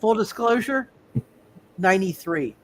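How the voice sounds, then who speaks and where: A man narrating casually as game master of a live roleplay game, halting and repeating his phrases. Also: sits high, lively intonation, a little hiss or hum, rough recording.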